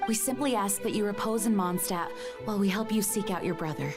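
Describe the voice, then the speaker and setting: A woman's voice: mid-range voice, a little fast, natural voice. A woman voicing an earnest, dutiful knight commander in a video game, formal and resolute. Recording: game music behind the voice.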